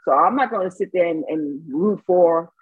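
A woman's voice: fairly low pitch, moderate pace, slightly rough, no echo, narrow, tinny audio. Chatty woman coach from Saint Lucia. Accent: New York with a light Caribbean lilt. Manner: chatty, laughs often, many restarts and fillers. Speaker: basketball coach. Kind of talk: casual interview answers.

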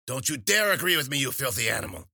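A man voicing a crazy old witch woman in an audiobook, a gleeful, crude bully who taunts and cackles loudly. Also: medium pitch, wildly swooping pitch, normal speed, vocal fry, breathy edge, no room sound, clean studio sound, pitched above the performer's own voice, exaggerated voice. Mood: snarling.

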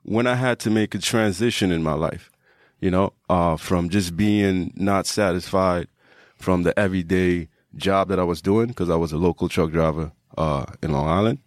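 Deep voiced guy from trinidad, fitness coach on a podcast, casual and reflective, dry close sound. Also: normal speed, dry recording. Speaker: strength and conditioning coach, podcast guest. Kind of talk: casual personal storytelling.

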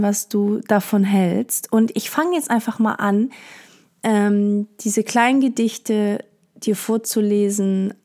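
A young woman from Portugal hosting a podcast, talking in a reflective, gentle way with many fillers. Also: medium pitch. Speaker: podcast host. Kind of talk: casual personal monologue.

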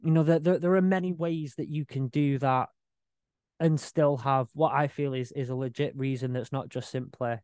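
Guy on a podcast, average voice, kinda halting, dead dry sound. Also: medium pitch, steady tone, darkish tone, smooth, no room sound. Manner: loose, searching for words. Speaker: podcast guest. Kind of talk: casual chat.

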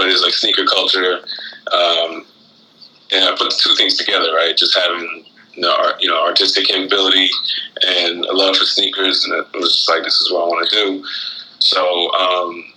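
A man from Florida chatting casually as an interview guest, halting and reflective as he talks. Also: low-pitched, pushed and bright, a little hiss or hum, poor audio. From Florida, United States, born in Guyana. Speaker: interview guest, rapper and shoe designer.

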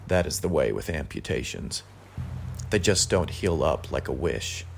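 A man with a measured, deliberate delivery, reading poetry and reflecting on it aloud. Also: rumbling low, lively intonation, gravelly. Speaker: guest reader. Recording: faint background noise.

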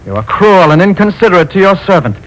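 An actor playing Goodwill, an earnest, stern and kindly spirit who lectures a couple, in a 1940s radio play. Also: male voice, high-pitched, big pitch swings, quick pace, low-quality recording. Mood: stern preaching. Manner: earnest, sermonizing, commanding. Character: Goodwill, a wise preachy man, stern and kindly.

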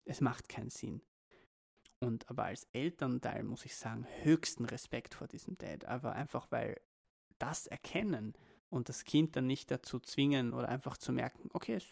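Gravelly man musing on parenting. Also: medium pitch, moderate pace, a little mellow. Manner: reflective, rambling, many restarts. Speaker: podcast co-host. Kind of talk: casual parenting chat.

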